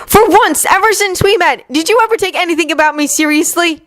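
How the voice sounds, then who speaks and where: Distraught young man, shrill and loud , voiced by a woman reading a story. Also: a little fast. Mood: furious. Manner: yelling accusations. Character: distraught young man, shouting, near tears.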